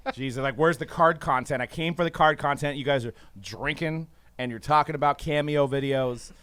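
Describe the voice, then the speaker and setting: A man's voice: fairly high pitch, moderate pace, fairly bright tone, smooth, no echo. A man co-hosting a casual hobby podcast from a studio, upbeat and laughing easily, with frequent filler sounds. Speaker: podcast co-host.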